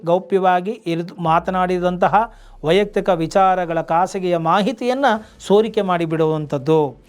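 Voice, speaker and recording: An Indian man with a noticeably high voice gives legal advice as a video host. He speaks like a teacher, addressing viewers directly and posing questions that he then explains. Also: slight background noise.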